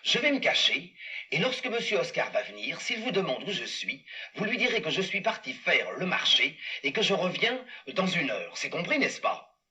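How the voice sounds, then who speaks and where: A man's voice: sits high, big pitch swings, normal speed, bright, forceful tone, voice pushed down, heavily acted. A man voicing a scheming male castle steward in a live puppet show for children, gleeful and theatrical as he talks to the audience. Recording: dull recording from far off.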